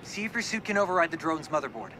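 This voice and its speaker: A man's voice: fairly high pitch, very animated, fast, bright, forceful tone, slight background noise. An actor playing a chummy, nerdy teenage boy tech sidekick in a video game. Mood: upbeat, busy. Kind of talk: casual video game acting.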